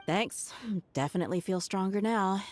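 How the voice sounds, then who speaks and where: A woman's voice: medium pitch, moderate pace, faint music behind, lightly acted. A woman voicing a brash, dry-witted female fighter in a game, with a sardonic, casual manner. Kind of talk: game voice acting.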